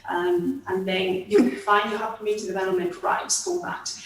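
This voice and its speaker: A woman council planning officer answering a committee, halting, with many fillers and restarts. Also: medium pitch, big pitch swings, heavy reverb, distant mic, background noise. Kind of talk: committee testimony.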